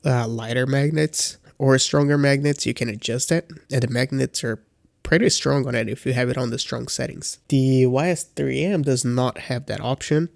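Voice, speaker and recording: A man's voice: medium pitch, normal speed, gravelly, no echo, studio quality. A man with a congested voice giving a casual, hesitant review as a beginner, with frequent fillers and restarts. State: congested from a cold. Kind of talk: casual product review vlog.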